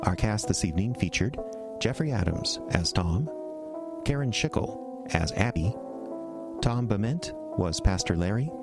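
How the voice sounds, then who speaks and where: A man's voice: fairly low pitch, creaky. A man reading scripted closing credits as the announcer for an audio drama. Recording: loud music under the voice.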